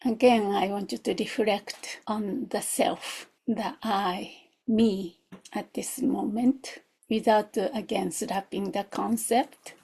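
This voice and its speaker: A woman teaches guided meditation at an unhurried pace. Her voice is mellow and slightly husky, with some creak. She speaks reflectively in short, spaced phrases, often posing questions. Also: medium pitch, fairly even intonation. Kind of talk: guided meditation, gentle instruction.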